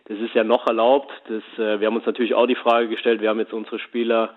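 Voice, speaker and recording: A man's voice: lowish voice, level tone, quick pace. A man, a sports fitness coach, speaking as a phone guest in a casual interview and explaining at length with many fillers. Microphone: telephone. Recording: tinny phone line.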